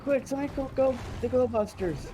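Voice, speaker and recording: A man's voice: very high voice, normal speed, dark tone. A man doing casual, joking commentary as a co-host, mostly in short interjections. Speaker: podcast co-host riffing on a cartoon.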